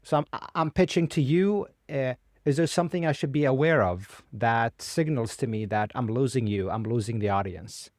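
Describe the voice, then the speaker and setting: Male podcast host, calm, mid-pitched. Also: normal speed, few pauses, no room sound, studio quality. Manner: calm, frequent listening murmurs. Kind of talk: casual interview questions.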